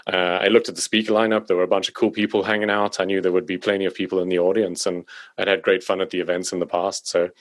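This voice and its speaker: A man from South Africa, a podcast guest, chatting in a relaxed way with many fillers and restarts. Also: low-pitched, quick pace, dry recording. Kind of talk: casual conversation. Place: home in Stockholm.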